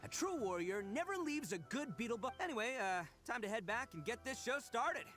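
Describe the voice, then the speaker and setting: A man's voice: very high-pitched, sing-song, quick pace, a bit bright, full character voice. An actor playing a boisterous, boastful young oni in an anime game, swaggering and slangy in his delivery. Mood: fired up. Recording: game music behind.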